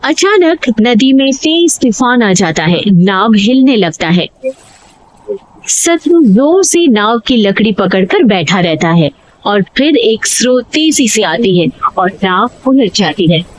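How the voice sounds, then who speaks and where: A woman narrating a cartoon story in an animated storytelling manner. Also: on the high side, slow pace, low-quality recording.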